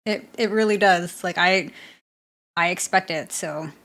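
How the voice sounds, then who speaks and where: A woman's voice: lowish voice, a little slow, vocal fry, a bit bright. Chatty woman hosting a reaction video. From Bay Area, California. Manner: chatty, laughs often, talks back to the clip. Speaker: reaction channel host. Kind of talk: casual reaction commentary.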